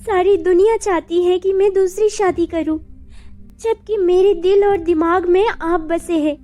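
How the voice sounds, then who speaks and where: A woman playing an emotional, devoted young widowed mother in an animated story drama, with music behind her. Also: very high-pitched, a little slow. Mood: anguished, pleading. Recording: background music over the voice.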